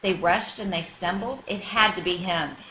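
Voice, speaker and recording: A woman reading a children's picture book aloud like a teacher, with expressive, dramatic storytelling for young listeners. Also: fairly low pitch, moderate pace, slight background noise, rough recording.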